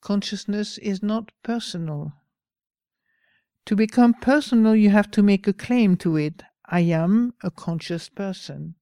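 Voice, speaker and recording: Woman with a French accent, meditation teacher, slow and mellow, dry close sound. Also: medium pitch, dry recording. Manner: slow, pausing, gentle. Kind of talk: dharma talk.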